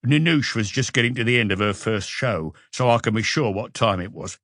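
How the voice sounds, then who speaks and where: A man's voice: lowish voice, fairly quick, fairly bright tone, no echo, studio quality. A man voicing a casual, matter-of-fact nightclub worker giving a witness account in an audiobook. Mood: factual.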